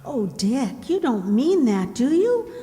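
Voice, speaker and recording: A woman playing a gentle, kind young lady of the house in a children's radio play. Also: high-pitched, big pitch swings, slow, heavily acted. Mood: tender, concerned. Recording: reverberant hall recording.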